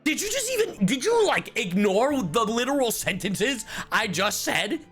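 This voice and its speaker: Very animated male game streamer. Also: very high-pitched, unhurried, soft background music. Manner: animated, exasperated rambling, swears freely. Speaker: livestreamer. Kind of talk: casual game stream commentary.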